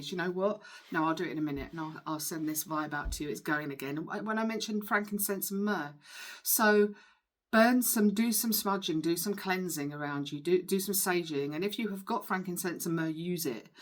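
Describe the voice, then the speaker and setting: Woman with a lowish voice. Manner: emphatic, earnest, reassuring. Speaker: spiritual healer and tarot reader. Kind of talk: informal tarot card reading to viewers.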